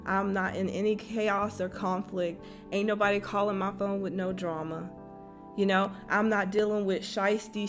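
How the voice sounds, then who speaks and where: A young woman with a light Texas accent giving a casual tarot reading, blunt and conversational, swearing freely and using slang. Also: medium pitch. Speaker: tarot reader and spiritual channel host. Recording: guitar music playing behind.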